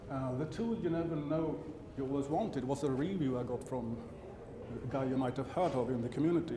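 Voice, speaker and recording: A middle-aged man from Sweden with a Swedish accent, presenting a technical conference talk on stage, often stopping to correct himself, with dry asides. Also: mid-range voice. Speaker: conference speaker. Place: large conference hall. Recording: roomy hall sound with background hum.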